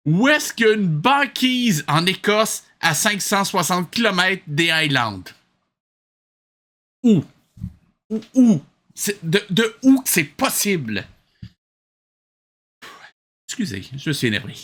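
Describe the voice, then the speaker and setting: A man's voice: high voice, sing-song, slow, long pauses, a bit bright. A man with a Québécois accent giving comic reaction commentary, incredulous and full of exasperated questions. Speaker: host reviewing a cartoon.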